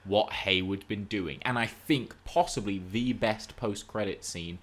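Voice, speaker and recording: A man's voice: on the low side, measured pace, a bit bright. A man hosting a podcast, chatting casually about pop culture in an opinionated, theatrical way. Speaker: podcast host.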